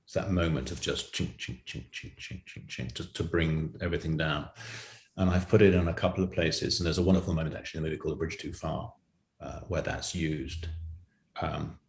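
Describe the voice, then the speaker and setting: A man with a very low voice talks as an interview guest in an echoey room. He explains his creative work through anecdotes, in a conversational storytelling way. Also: brisk. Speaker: writer and director, interview guest.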